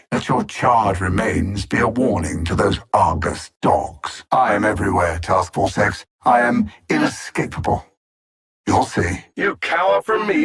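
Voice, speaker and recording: An actor playing a cold, condescending alien machine intelligence bent on conquest, a video game villain who speaks with measured menace and sardonic understatement. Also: male voice, mid-range voice, fairly bright tone, breathy edge, full character voice. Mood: ominous, then cold, calculating.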